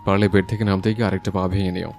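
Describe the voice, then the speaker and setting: A low-voiced young husband, played by a woman narrator, gruff and scolding in a romance audio story. He fires off curt, exasperated lines at a quick pace, with faint music behind. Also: level tone, darkish tone.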